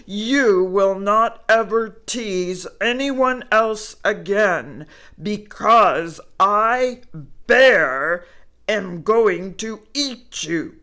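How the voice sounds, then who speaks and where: A woman voicing a huge grumpy male bear who growls his words loudly and slowly. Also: fairly low pitch, lively intonation. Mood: menacing. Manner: growling, loud and slow.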